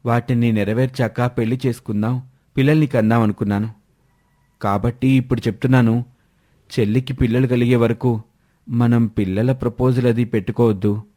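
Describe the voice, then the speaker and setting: Earnest young husband, acted by a male narrator, mid-pitched, explanatory, animated story drama. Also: natural voice. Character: earnest, apologetic young husband devoted to his sister.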